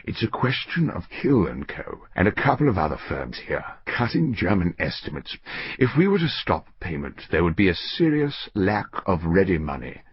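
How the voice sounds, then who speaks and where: A man's voice: low-pitched, big pitch swings, normal speed, slightly rough, no echo, highs cut off, full character voice. A man voicing an old senior banker, shrewd and resolute, with a clipped, weighty, suspicious manner in an audiobook story. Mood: grim, suspicious.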